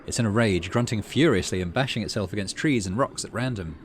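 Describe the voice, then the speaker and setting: A man's voice: on the low side, fast talker, a little hiss or hum. A man hosting a gaming video, mixing casual commentary with reading the game's narration aloud. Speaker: gaming channel host.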